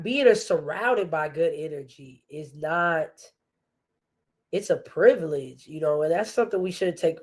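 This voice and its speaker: Woman podcast host, stuffy nose, slow and earnest, lots of ums and restarts. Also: fairly low pitch, warm tone. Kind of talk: faith-based motivational podcast talk.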